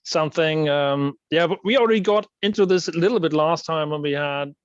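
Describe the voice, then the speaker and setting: A man's voice: fairly high pitch, brisk, no room sound, highs cut off. A man hosting an online interview, talking hesitantly with many fillers and restarts. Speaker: host.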